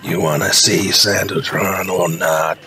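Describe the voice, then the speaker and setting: Man playing a deep, gravelly, weary dad. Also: flat delivery, unhurried, over music. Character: weary, gruff father surviving in the wasteland. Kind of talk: audio drama dialogue.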